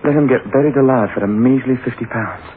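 A man's voice: mid-range voice, fast talker, phone-quality sound, lightly acted. Guy playing a trembling guilty young man, pleading, old radio horror, thin hissy sound. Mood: guilt-stricken. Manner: pleading, agitated, anguished. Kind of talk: 1960s radio horror drama acting.

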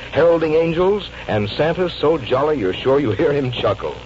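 An American man announces in a polished 1940s sponsor-read style. His mid-range voice rises and falls with lively intonation. The vintage recording is dull, with faint noise. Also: mid-range voice. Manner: polished sales delivery. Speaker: radio announcer. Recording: muffled old radio recording, faint noise.

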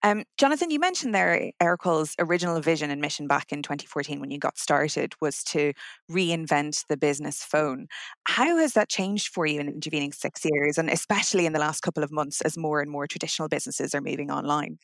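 A woman's voice: sits low, brisk, gravelly, a bit bright, no room sound, studio quality. A woman conducting an interview with prepared, polished questions, speaking in an articulate, professional and affirming manner.

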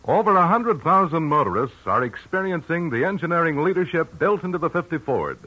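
A man's voice: fairly high pitch, lively intonation, a little fast, sharp tone, poor audio. A man reading a scripted 1950s radio advertisement in a polished, emphatic sales manner. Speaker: commercial announcer.

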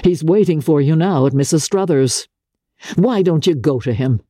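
A woman playing a bitter, husky-voiced jealous gentleman. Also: sits low, normal speed, no echo, studio quality. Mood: jealous sneer. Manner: sneering, intense. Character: bitter, jealous, lovesick gentleman of old New York. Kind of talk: dramatic audiobook character reading.

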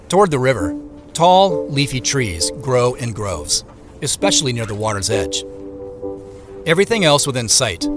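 Reflective male narrator over music. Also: mid-range voice. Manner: descriptive, reflective. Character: reflective man narrating his own story. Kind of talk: scripted first-person narration.

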